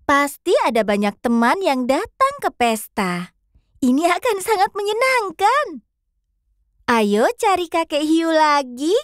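A woman's voice: sits high, sing-song, a little slow, no echo, studio quality. A woman voicing a cheerful pink fox who hosts a children's cartoon and coaxes kids through a hide-and-seek game. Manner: bright, coaxing, talks to kids.

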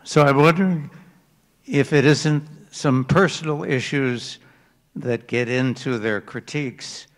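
A man from the audience asking a question, halting with many fillers. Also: medium pitch. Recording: hall reverb, handheld mic.

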